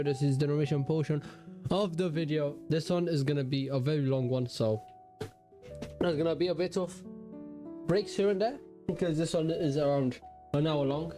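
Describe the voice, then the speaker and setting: A young man with a cold talks loosely over his drawing video, his voice sitting at an ordinary middle pitch and a little deeper than he says it usually is. He rambles, stops and restarts, and leans on lots of ums and uhs, while soft guitar music plays quietly underneath. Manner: rambling, many fillers and restarts. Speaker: digital art YouTuber. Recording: faint guitar music behind. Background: faint guitar music.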